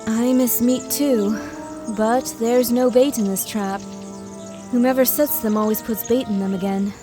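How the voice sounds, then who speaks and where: A woman's voice: on the high side, fairly even intonation, a little slow, over music, lightly acted. A woman playing an impatient, grumbling female thief in a fantasy audio drama.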